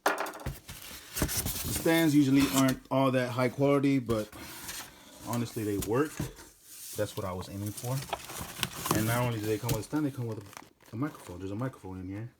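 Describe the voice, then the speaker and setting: A man's voice: mid-range voice, normal speed, long pauses, very noisy. A man giving a casual, plain-spoken product unboxing in the informal way of a working DJ presenter. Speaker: DJ rental business presenter.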